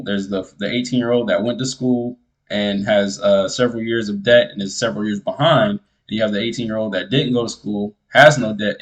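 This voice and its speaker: A man's voice: lowish voice, low-quality recording. A man who makes music talks casually and earnestly to the viewer, giving advice and repeating his points to drive them home. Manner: earnest, repetitive, speaks straight to the viewer.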